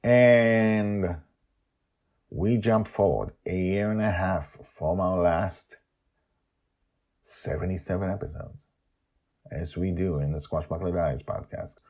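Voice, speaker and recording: A man's voice: low voice, takes it slowly, pauses often, darkish tone. A man hosting a storytelling podcast, speaking in theatrical, emphatic short phrases. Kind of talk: dramatic podcast narration and commentary.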